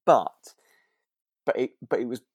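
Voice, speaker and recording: A man co-hosting a podcast in relaxed chat, hesitant and correcting himself as he thinks aloud. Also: mid-range voice, a little slow, slightly rough, dry recording, muffled recording. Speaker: podcast co-host. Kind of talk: relaxed chat reviewing a radio drama.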